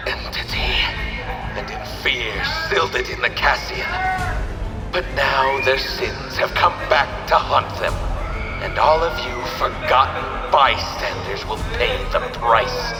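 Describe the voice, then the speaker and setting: An actor playing a mocking, gleeful serial killer villain in an audio drama, taunting like a sing-song storyteller with grandiose delivery. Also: male voice, medium pitch, animated, a little slow, roomy sound, over loud music.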